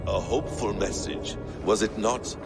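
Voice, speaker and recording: An actor playing a calm, cryptic ancient man in a game cutscene, speaking in a measured, solemn way over music. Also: fairly low pitch, creaky. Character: slow, cryptic, calm ancient male being. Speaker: ancient being in a simulation.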